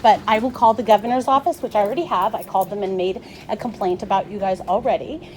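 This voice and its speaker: A woman with a loud voice, firmly and insistently arguing with hospital staff, recorded on a phone. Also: medium pitch, fast, rough recording. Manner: firm, insistent, argumentative. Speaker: patient's companion, filming a confrontation. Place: hospital unit and hallway. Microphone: handheld phone recording.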